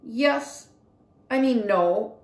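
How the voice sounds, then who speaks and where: A woman's voice: on the high side, animated, extremely slow, roomy sound, voice pushed up, exaggerated voice. A woman reading as a shy, evasive pet-shop man in a storybook, hesitant and mumbling.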